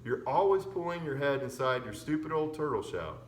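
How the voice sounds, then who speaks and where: A man's voice: mid-range voice, lively intonation, brisk, natural voice. A man voicing an upset, stubborn, pleading young girl in a storybook reading. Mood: angry, defiant. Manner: emotional, insistent pleading. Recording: roomy echo with background noise.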